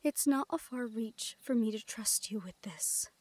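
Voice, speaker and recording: A woman playing a guarded but tender young thief in an intimate roleplay audio drama, speaking in a hushed, hesitant way. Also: a little high, unhurried. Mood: sincere, trusting.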